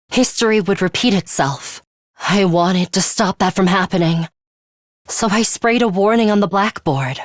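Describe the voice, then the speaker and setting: A woman's voice: medium pitch, no room sound, lightly acted. Actress doing stern young game girl soldier, composed n serious, takes her time, very dry close recording. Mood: grave, subdued. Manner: measured, serious, deliberate. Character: stern, composed young woman student fighter, coolly ruthless. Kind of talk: English video game character voice lines.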